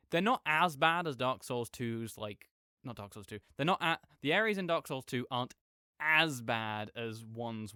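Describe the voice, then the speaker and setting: A man's voice: medium pitch, sharp tone, clean tone, dry recording, clean studio sound. Rambling, opinionated gamer roommate, played by a man. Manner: rambling, self-correcting, halting, apologetic asides. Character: opinionated gamer roommate ranting good-naturedly about games. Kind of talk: rambling casual rant, sleep-aid audio roleplay.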